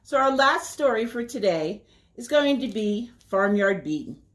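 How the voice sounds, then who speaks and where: Slow-paced woman reading stories to children. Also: fairly high pitch, lively intonation. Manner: slow, deliberate, sing-song repetition for young listeners. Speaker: children's librarian, story time host. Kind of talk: storytelling for young children, reading aloud. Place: small indoor room by a window.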